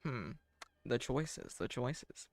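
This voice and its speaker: A young man giving casual live game commentary, rambling along in a wry, deadpan way. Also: mid-range voice, takes it slowly. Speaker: game streamer. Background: faint game music.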